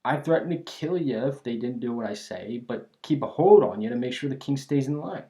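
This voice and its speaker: A man performs a bandit gang leader with a mid-pitched male voice. He is cocky yet oddly friendly, talking straight to the listener in loose, folksy slang. The delivery is a teasing, chatty ASMR roleplay monologue. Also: darkish tone, natural voice. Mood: matter-of-fact, teasing.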